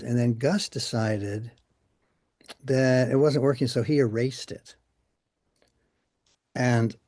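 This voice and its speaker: An older man shares anecdotes in a relaxed interview setting. His voice is mid-pitched and mellow, recorded close and dry, and he talks in an easy, conversational storytelling way. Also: dry recording. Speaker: musician being interviewed.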